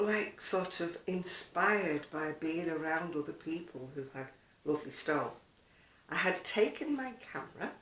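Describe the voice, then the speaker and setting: An older woman chatting casually to camera as a video blogger, rambling along with self-deprecating asides in an echoey room. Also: lowish voice. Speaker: video blogger and craft seller.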